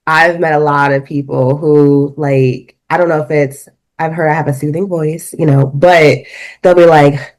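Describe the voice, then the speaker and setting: A woman's voice: low-pitched, fairly even intonation. A woman co-hosting a podcast, talking casually with lots of fillers and rambling asides. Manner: rambling, filler-heavy, quick bursts. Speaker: podcast co-host. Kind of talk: casual chat.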